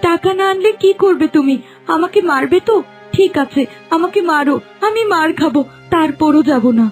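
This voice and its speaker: A woman acts as a young cartoon wife from a village, stubborn yet distressed. Her voice is high and urgent, tumbling out in emotional pleas. Light music runs behind it. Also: natural voice. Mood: defiant, shocked. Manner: quick, pleading, emotional delivery. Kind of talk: melodramatic cartoon acting. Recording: music bed under voice.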